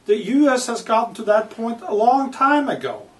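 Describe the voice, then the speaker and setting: A man reading his own book aloud with commentary, speaking in deliberate, emphatic phrases broken by pauses. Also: very high-pitched, sing-song. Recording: distant microphone.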